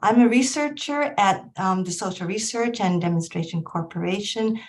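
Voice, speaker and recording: A middle-aged woman from Hong Kong, a research director speaking on a panel in a measured way over a video call. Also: medium pitch, darkish tone. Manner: measured, with frequent ums. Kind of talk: panel discussion. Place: home or office in Vancouver.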